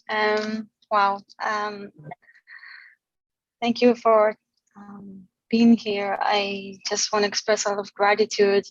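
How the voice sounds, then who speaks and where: A woman in her forties from Israel, sharing her gratitude on a group video call, halting and full of fillers and restarts. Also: medium pitch, no echo, muffled recording. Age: 40s. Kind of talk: heartfelt casual sharing.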